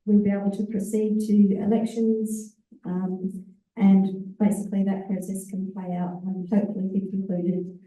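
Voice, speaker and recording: A woman, an executive officer, giving a relaxed conference presentation with fillers and self-corrections. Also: mid-range voice, normal speed. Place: large conference hall. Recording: echoey hall, voice far from the mic.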